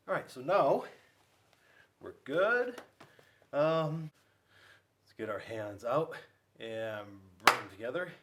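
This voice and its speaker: Male children's storyteller, mid-pitched with big swings, playful, speaks straight to young listeners. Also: bright, forceful tone. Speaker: school resource officer reading to children. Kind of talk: animated children's read-aloud.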